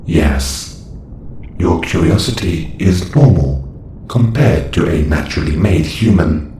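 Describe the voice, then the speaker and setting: Man voicing a very deep, echoey, clinical robot. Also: lively intonation, takes it slowly, dark tone. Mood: clinical, curious. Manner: stilted, formal, matter-of-fact. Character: clinical, detached male observation robot that creates humans. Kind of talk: scripted audio roleplay monologue. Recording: roomy echo with faint noise.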